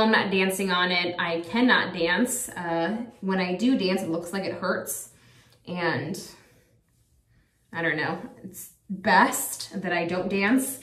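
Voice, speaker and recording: A woman's voice: mid-range voice, fairly bright tone. A woman in her thirties talking casually to her viewers in a rambling, chatty way, full of fillers. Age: 30s. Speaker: vlogger. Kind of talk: casual chat to camera. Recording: echoey room, a bit far from the mic.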